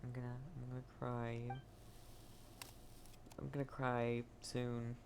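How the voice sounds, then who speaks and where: A woman's voice: very deep voice, little pitch movement, takes it slowly, heavy background noise. A woman livestreamer reacting casually to a video game as she plays.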